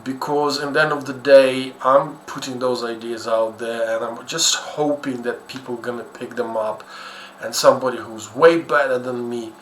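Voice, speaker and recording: A man's voice: medium pitch, steady tone, normal speed. A man from Ukraine with a Ukrainian accent gives casual, unscripted commentary in a hesitant, rambling, blunt and self-deprecating way. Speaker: YouTuber host. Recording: echoey room with faint background noise.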